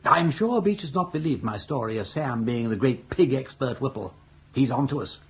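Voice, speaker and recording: An actor playing a suave older English gentleman schemer with an upper-class accent and jaunty, ornate phrasing in a radio comedy. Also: mid-range voice, expressive, moderate pace, breathy edge. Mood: breezy, unflappable. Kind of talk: radio comedy drama acting.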